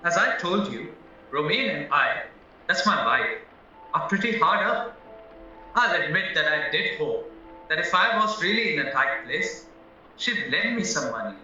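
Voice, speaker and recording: A man acts out a young murder suspect in an audio drama, frank but flustered, hesitating and protesting earnestly. His high voice swings animatedly. It sounds distant and very echoey, with music behind. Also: very animated, a little slow, long pauses, sharp tone, dull audio, full character voice. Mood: frank, uneasy. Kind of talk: stage-style courtroom audio drama acting.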